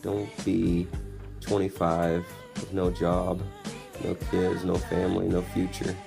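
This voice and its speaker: Male, 20s, low gravelly voice, blunt candid podcast monologue with music underneath. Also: monotone, takes it slowly. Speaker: podcast host. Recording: music playing behind the voice. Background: background music.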